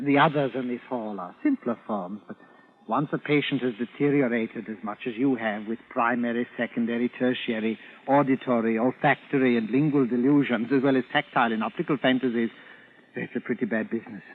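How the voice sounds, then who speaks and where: A man plays a condescending Martian doctor in a vintage radio drama, politely certain of himself and savoring clinical terms. The voice is medium-pitched and deliberate. The recording is tinny, with some background noise. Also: natural voice. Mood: condescending, calm. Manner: pedantic, deliberate, fussy hesitations. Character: smug, unshakeable Martian psychiatrist, courteous and condescending. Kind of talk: 1940s-50s radio drama acting.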